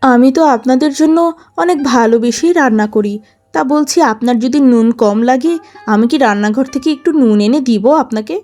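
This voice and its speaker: A woman voicing a long-suffering, gentle elder wife in a melodramatic cartoon, plaintive and patient. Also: fairly high pitch, a little fast, faint music behind, natural voice. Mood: meek, pleading. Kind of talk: melodramatic cartoon story acting.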